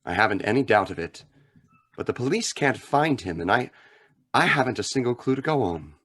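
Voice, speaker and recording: A man playing a grave, philosophical crime fighter with a measured, earnest manner in a radio drama. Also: medium pitch, big pitch swings, a bit bright, husky. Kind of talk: old-time radio drama acting.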